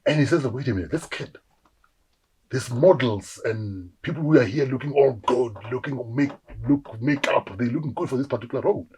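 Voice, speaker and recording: A South African man in his forties, an actor telling animated stories in a casual interview and acting out the voices of people he quotes. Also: medium pitch, brisk, breathy edge, rough recording. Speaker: actor guest.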